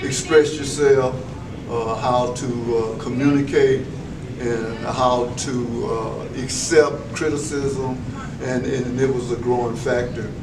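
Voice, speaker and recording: Older guy talking slow and flat, lots of uhs, echoey room, mic far off. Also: mid-range voice, background noise. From Texas. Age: 70s. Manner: measured, many filler pauses, formal phrasing. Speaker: veteran school educator, interviewee. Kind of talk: reflective interview answers.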